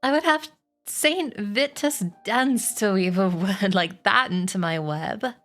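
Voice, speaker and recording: A woman voicing a clever, kindly female spider, brisk and articulate, in a storybook. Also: on the high side, expressive, fairly bright tone, faint music behind, voice pushed up. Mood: brisk, indignant.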